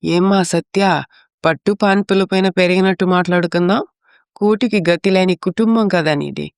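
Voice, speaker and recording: A woman's voice: on the low side, moderate pace, darkish tone, clean tone, dry recording, studio quality, close to an everyday voice. A woman playing an elderly mother-in-law in a family story, caring but chiding.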